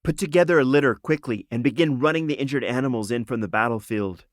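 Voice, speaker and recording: A man's voice: medium pitch, fast, no room sound, pitched above the performer's own voice, lightly acted. A commanding, compassionate animal healer lady, read by a man. The voice is firm and only slightly raised, close and dry, in storybook style.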